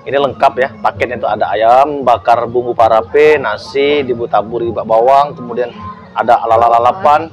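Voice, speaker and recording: A chatty man hosts a casual food-tasting interview, joking with his guest and filling the gaps with quick backchannel. His voice sits in an ordinary middle pitch. Clear music plays behind him the whole time. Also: steady tone, highs cut off. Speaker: food show host.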